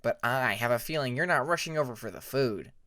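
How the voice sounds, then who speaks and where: A man's voice: mid-range voice, animated, a little fast, fairly bright tone, dry recording, studio quality, natural voice. A man voicing a friendly young male dragon prince in a storybook reading, casual and amiable. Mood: cheerful.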